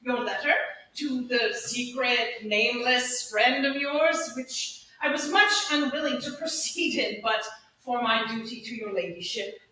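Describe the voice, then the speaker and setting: A woman playing an earnest, lovesick young gentleman in a Shakespearean comedy, with halting, flustered delivery. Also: on the high side. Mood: flustered, deferential. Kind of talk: Shakespearean comedy staged reading. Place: large echoey indoor room, staged reading. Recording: echoey hall from far off, faint noise.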